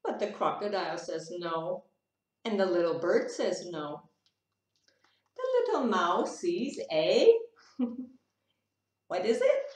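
A middle-aged woman teacher reads a picture book aloud to young children, animated and questioning, pausing for her listeners. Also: fairly low pitch. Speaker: English teacher reading to children. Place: small room indoors. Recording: roomy, distant webcam sound.

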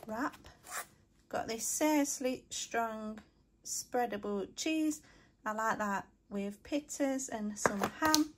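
A young woman talks through her week's grocery haul at home in a chatty, matter-of-fact way. She speaks at a slow, unhurried pace. A faint hiss sits under her voice. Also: on the high side. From England. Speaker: family vlogger. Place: living room at home, then kitchen counter.

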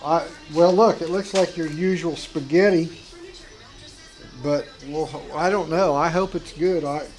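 Older man, folksy rambling mechanic, slightly high voice, music underneath. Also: fairly even intonation, measured pace, a little mellow. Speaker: mechanic and show regular. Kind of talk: casual workshop chat. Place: home garage workshop.

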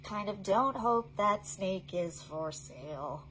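A woman in her thirties presenting a storytime for young children in an animated, sing-song, encouraging way. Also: mid-range voice, slow pace, soft background music, poor audio. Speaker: children's librarian hosting an online storytime. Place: small indoor play tent set up for recording.

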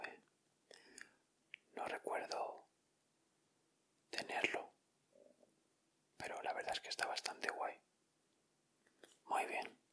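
A man with a Castilian Spanish accent doing gentle, informal ASMR commentary as he hosts an unboxing. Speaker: ASMR video host.

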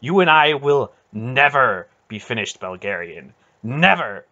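A man's voice: lowish voice, a little slow, sharp tone. An ancient sorceress aunt, acted out by a male narrator, stern and imperious. She speaks with cutting, commanding intensity, as in a fantasy storybook. The recording is slightly dull and muffled. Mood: wounded, intense. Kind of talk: dramatic audiobook character voice.

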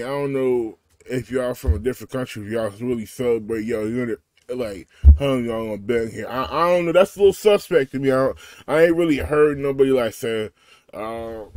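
A man's voice: medium pitch, a little mellow, no room sound. A man hosting a reaction video, talking casually and slangily straight to his viewers. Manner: laid-back, slangy, speaks straight to viewers with frequent "y'all".

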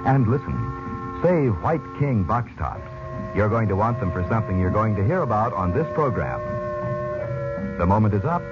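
A man's voice: lowish voice, big pitch swings, moderate pace. A man working as a radio announcer and narrator, reading a script in a formal, theatrical vintage style. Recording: muffled old radio recording with music.

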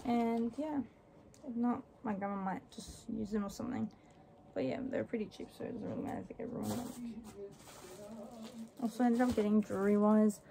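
A young adult woman, chatting casually and offhandedly as a vlogger in a small room, rambling a little. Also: a little high. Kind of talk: casual haul vlog chat. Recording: faint background noise.

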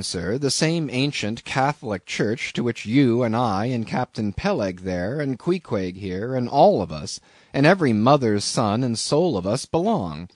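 A man voicing a quick-witted young sailor in a storybook, earnest, cheeky and persuasive. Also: mid-range voice, no echo, studio quality.

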